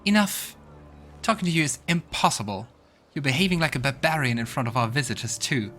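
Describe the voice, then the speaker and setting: A man's voice: medium pitch, lively intonation, fairly quick, a bit bright, slight background noise, voice pushed up, natural voice. A man voicing a haughty, indignant young village priestess in a fantasy game, speaking formally and scolding. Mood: exasperated.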